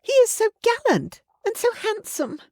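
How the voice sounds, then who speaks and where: A woman reading aloud voices a gossipy young lady, high and gushing. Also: sing-song, measured pace, a little mellow, no echo, full character voice.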